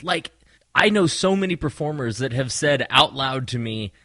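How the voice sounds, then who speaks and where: A man co-hosting a podcast, chatting casually as a performer, animated and rambling as he tells stories. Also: mid-range voice, normal speed, no echo, clean studio sound. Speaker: podcast co-host. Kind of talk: casual chat.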